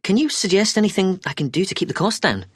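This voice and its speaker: A woman's voice: mid-range voice, expressive, fast, breathy edge, dry recording. A woman playing an agreeable, inquiring customer planning a trip in a scripted language-test dialogue, a little hesitant and questioning.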